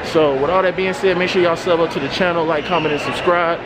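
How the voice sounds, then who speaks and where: A man's voice: a little high, fairly even intonation, quick pace, heavy background noise. Laid-back man vlogging in a mall. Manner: laid-back slang, says man often. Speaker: sneaker vlogger. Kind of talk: casual vlog talk. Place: shopping mall. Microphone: handheld camera held at arm's length.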